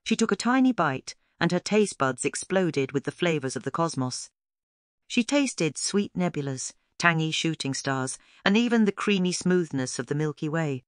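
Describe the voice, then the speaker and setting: Crisp, dry children's storyteller. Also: mid-range voice, expressive, moderate pace, dry recording. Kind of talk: scripted children's story read-aloud.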